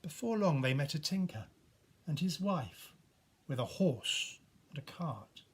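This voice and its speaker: Male storybook narrator, husky and unhurried, very animated pitch, expressive reading for young children. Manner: expressive, with dramatic pauses and hushed emphasis.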